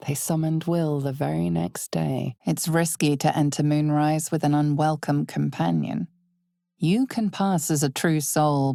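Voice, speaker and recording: A woman voicing a solemn, ominous narrator of a dark fantasy video game, with measured, dramatic storytelling. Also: deep voice, gravelly, dry recording, studio quality, exaggerated voice. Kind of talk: scripted second-person fantasy game narration.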